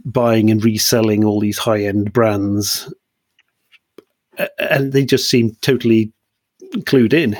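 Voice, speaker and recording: A middle-aged man from northern Norway, musing in a measured way in a casual conversation. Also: fairly low pitch, steady tone, dry recording, clean studio sound.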